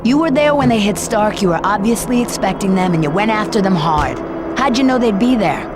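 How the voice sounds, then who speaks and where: A woman's voice: mid-range voice, lively intonation, brisk, very noisy, natural voice. A woman playing an accusatory, incredulous police interrogator in a video game, with a pointed, sarcastic manner. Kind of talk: video game cutscene acting.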